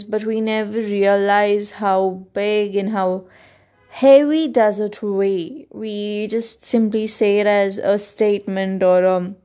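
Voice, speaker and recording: A woman with an Indian English accent hosts a solo podcast at an unhurried, drawn-out pace. Her voice is soft and mellow, and she speaks earnestly and thoughtfully, filling pauses with ums and uhs. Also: mid-range voice, smooth. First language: Kannada. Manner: earnest, reflective, with frequent fillers. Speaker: podcast host.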